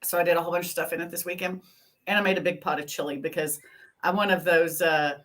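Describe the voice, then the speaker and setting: A woman's voice: on the low side, little pitch movement, quick pace, a bit bright. A woman in her fifties from Texas, bantering crudely and joking on a casual podcast. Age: 50s.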